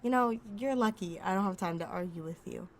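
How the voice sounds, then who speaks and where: A woman's voice: on the low side, a little fast, lightly acted. A woman playing a cocky, wisecracking outlaw thief with a sassy, sarcastic, foul-mouthed delivery in an ASMR roleplay audio drama. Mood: sulky, teasing.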